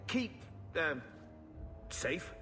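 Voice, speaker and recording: A man playing a gruff, awkward middle-aged uncle in a fantasy video game, grumbling and halting. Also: on the high side, sing-song, in a large hall, over music, heavily acted. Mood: awkward, hesitant.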